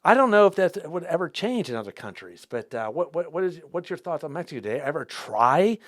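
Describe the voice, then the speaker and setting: A man's voice: dry recording, studio quality. A man hosting an interview show, talking casually, restarting often and repeating words. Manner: halting, repeats words as he restarts, lively rises in pitch. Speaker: host.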